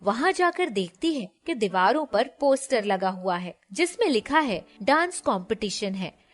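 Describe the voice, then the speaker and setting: A woman narrates a moral tale from a script. Her voice is fairly high, and she speaks as a storyteller. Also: big pitch swings, moderate pace.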